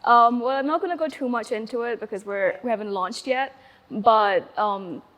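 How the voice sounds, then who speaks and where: A woman's voice: a little high, normal speed, fairly bright tone, big-room sound, rough recording. A woman in her twenties from Sri Lanka, chatting casually as an interview guest, with many fillers.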